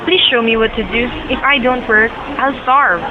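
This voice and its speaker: A woman's voice: fairly high pitch, moderate pace, phone-quality sound, a crowd in the background, natural voice. A woman in an amateur audio drama, playing an earnest, grieving young man, the younger brother. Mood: pleading, earnest.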